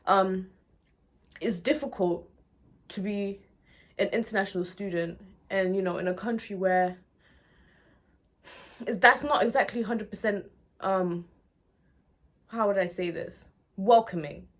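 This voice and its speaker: Candid, expressive young woman from Nigeria. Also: mid-range voice, measured pace, pauses often. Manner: conversational and expressive, often checking in with "you know". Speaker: video blogger. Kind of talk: candid personal talk to viewers.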